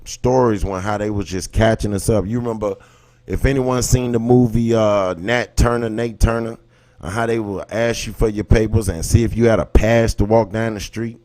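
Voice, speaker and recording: A man's voice: fairly low pitch, fairly even intonation, normal speed, dark tone. Emphatic American man talking to livestream viewers. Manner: colloquial, emphatic, rhetorical questions. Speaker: livestream host. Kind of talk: impassioned casual commentary, talking to live viewers.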